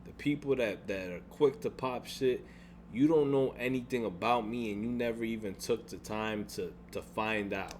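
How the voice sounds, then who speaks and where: Deep-voiced young man venting, rambling. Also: moderate pace, darkish tone, a little hiss or hum. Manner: frustrated, rambling with frequent restarts and swearing. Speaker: gaming YouTuber. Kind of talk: casual venting vlog.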